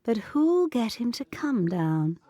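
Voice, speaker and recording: A woman's voice: a little high, very animated, slow pace, dark tone, dry recording, full character voice. A woman playing an agitated, resolute young governess in a gothic audio drama, speaking with intense, formal period diction. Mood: guarded.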